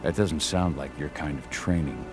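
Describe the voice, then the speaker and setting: An actor playing a brooding, guilt-ridden werewolf warrior in a video game, speaking in a terse, grave, restrained way. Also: male voice, a bass voice, normal speed, over music. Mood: guarded, remorseful. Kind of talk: video game cutscene acting.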